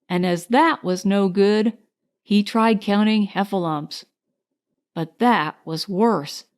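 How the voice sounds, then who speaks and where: Slow-paced, higher-voiced woman storyteller. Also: a little mellow. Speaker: audiobook narrator. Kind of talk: scripted children's storybook narration.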